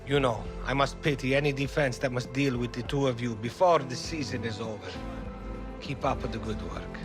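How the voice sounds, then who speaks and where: An actor playing a passionate, burdened football manager with an Italian accent in a video game cutscene. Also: male voice, mid-range voice, moderate pace, natural voice. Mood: pleased. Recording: music behind the voice.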